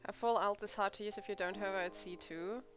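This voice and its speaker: A woman gives casual game playthrough commentary in a medium-pitched voice. Her delivery is informal, blunt and joking. Faint music sits behind her. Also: fairly even intonation, fast, a bit bright. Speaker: game streamer.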